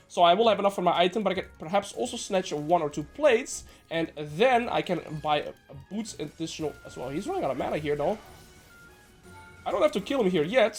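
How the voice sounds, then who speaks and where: Man, nasal, slightly sick, fast talker, coaching gameplay commentary, joking asides. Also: sits high, husky. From Netherlands. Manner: brisk, explanatory, joking asides. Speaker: game coach.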